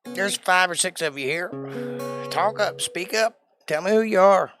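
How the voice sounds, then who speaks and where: A man's voice: high-pitched, a little slow, over music. Opinionated man ranting on stream. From Georgia, USA. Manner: emphatic, opinionated, rhetorical questions. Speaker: live stream host. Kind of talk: casual political rant to chat.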